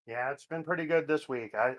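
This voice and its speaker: A man who just won a race, chatting casually in a relaxed, modest and thankful way. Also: a little high, a little fast. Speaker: winning race driver. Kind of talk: casual post-race interview.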